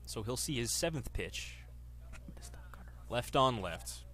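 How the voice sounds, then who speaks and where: Bright-voiced young male baseball announcer. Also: mid-range voice, lively intonation, slow pace. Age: 20s. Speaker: baseball radio play-by-play announcer. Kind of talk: live sports commentary.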